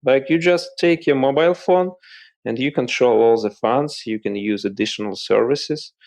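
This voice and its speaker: Russian-accented man, halting English. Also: mid-range voice, darkish tone. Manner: plain, slightly halting non-native phrasing. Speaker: guest, company CEO. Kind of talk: casual interview chat.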